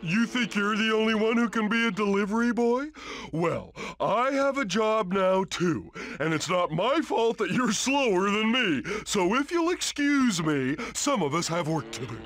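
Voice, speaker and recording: A man's voice: high voice, expressive, a little slow, fairly bright tone, dry recording. An actor playing a dim, friendly male starfish in a cartoon video game, with a goofy, simple-minded manner. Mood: cheerful, smug.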